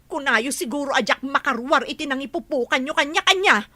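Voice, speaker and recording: A distressed, insistent woman played by an actress in a radio comedy drama. Her voice is very high and piercingly bright, and she presses her complaint hard. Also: lively intonation, fairly quick, no echo. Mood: accusing. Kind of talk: Ilocano radio drama acting.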